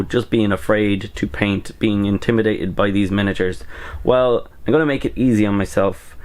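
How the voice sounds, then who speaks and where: A young man in a small hobby room, chatting casually to camera with a strained, slightly cracking voice while recovering from bronchitis, rambling with lots of ums and uhs. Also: lowish voice. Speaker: miniature painting YouTuber.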